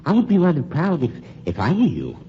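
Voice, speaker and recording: A man's voice: a little high, wildly swooping pitch, brisk. An actor playing a suave, menacing male undertaker in a 1940s radio drama, coldly polite and drawn out. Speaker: funeral home owner. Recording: muffled 1940s radio broadcast with faint hiss.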